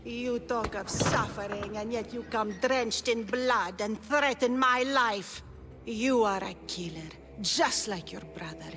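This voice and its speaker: An actress voicing a cold, zealous cult priestess in a video game cutscene, speaking softly with quiet menace. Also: female voice, a little high, slow pace, music in the background. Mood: menacing. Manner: soft, menacing, condescending. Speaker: cult leader villain.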